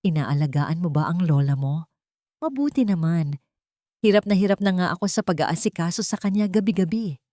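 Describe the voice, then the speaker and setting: A woman's voice: fairly low pitch, animated, a little fast, warm tone, dry recording, clean studio sound, natural voice. A woman voicing a deceitful aunt who sounds falsely cheerful and composed, mixing English phrases into her talk. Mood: martyred.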